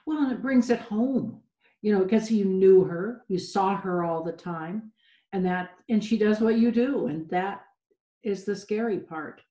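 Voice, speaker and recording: A woman interviewer asking measured, sympathetic questions. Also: mid-range voice, warm tone. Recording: echoey room.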